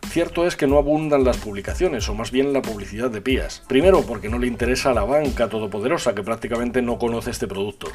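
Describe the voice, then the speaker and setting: A man's voice: mid-range voice, quick pace, hardly any pauses, a little mellow. Man explaining steadily over background music. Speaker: podcast host. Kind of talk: explanatory scripted narration for a personal finance podcast.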